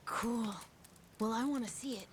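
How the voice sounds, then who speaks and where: A woman's voice: a little high, unhurried, natural voice. A woman voicing a plucky, curious island child in a video game, offhand and earnest with a youthful, casual delivery. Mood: eager, wistful. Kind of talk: video game cutscene acting. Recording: music clearly behind the dialogue.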